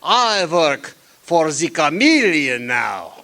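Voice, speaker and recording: Little German henchman, high voice, slow delivery, sly, menacing, comically indignant, German accent, radio play. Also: very animated, bright, forceful tone, heavily acted. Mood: boastful, sly. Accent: put-on German. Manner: inverted syntax, taunting, theatrical. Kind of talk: comic old-time radio detective drama acting.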